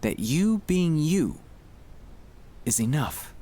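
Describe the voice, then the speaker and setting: A man speaking softly and soothingly, often slipping toward a whisper, as he gently guides breathing in a sleep-aid ASMR style. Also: fairly high pitch, big pitch swings, slow pace. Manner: soft-spoken, soothing, often dropping into whispers. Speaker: ASMR creator guiding breathing exercises.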